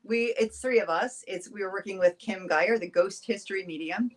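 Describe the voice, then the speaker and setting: A woman's voice: medium pitch, moderate pace. A woman guest on a live online show, chatting eagerly about her podcast and upcoming events. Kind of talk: casual chat.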